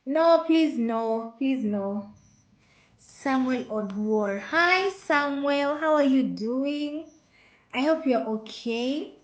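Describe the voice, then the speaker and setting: A woman's voice: high voice, lively intonation, highs cut off. A woman from Kenya with a Kenyan accent, chatting casually with viewers on her live stream and often repeating herself. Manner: slow, repeats phrases, reads out viewer comments. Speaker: livestream host.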